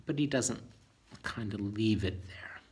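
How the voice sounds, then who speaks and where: A man telling a children's bedtime story in an expressive way, with chatty teacherly asides and questions to young listeners. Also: fairly low pitch, measured pace, slightly rough.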